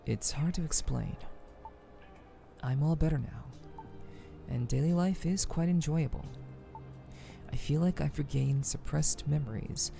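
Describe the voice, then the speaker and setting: An actor playing an earnest, conflicted counselor in a story game, speaking in a measured, reflective way. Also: male voice, fairly high pitch, creaky, mellow. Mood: earnest, uneasy. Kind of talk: voiced game dialogue. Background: background music.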